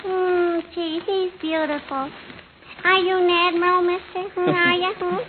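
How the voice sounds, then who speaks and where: A squeaky cheeky lil girl in an old radio comedy, coy n pushy, noisy thin recording. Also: flat delivery, unhurried. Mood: curious, admiring. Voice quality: childlike, high-pitched. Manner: coy, insistent, repeats questioning hums. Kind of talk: 1940s radio comedy acting. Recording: noisy, narrow-band 1940s radio recording.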